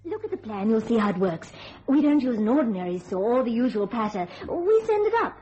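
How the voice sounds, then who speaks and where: An actress playing a poised, trusting young magician's assistant in a radio thriller, polite and self-assured. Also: female voice, on the high side, sing-song, a little fast, dark tone. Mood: enthusiastic. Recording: muffled radio recording, faint hiss.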